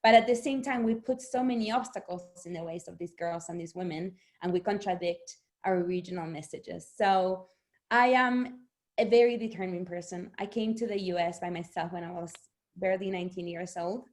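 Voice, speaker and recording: A middle-aged woman from Argentina, a native Spanish speaker, talking as an author guest in an interview, animated and laughing often. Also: on the low side, fairly quick. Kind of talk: conversational interview.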